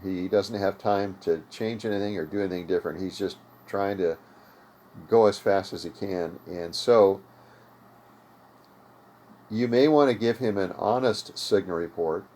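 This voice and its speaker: A man's voice: low-pitched, darkish tone. An older American man hosting an informal, unscripted hobby tutorial in a conversational, explanatory way. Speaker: host of an amateur radio tutorial series. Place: home radio room.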